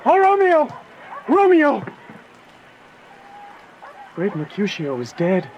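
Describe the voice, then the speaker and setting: An actor playing a loyal, anxious young friend in a Shakespearean radio drama, speaking with dramatic urgency. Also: male voice, sits high, huge pitch swings, takes it slowly, highs cut off, a little hiss or hum, exaggerated voice. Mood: alarmed grief.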